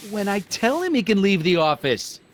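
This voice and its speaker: A man's voice: sits high, sing-song, moderate pace, a little hiss or hum. An actor playing a brash, bullying American gangster boss in a video game, with sarcastic, domineering tough-guy talk and old-time slang. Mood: brusque, evasive.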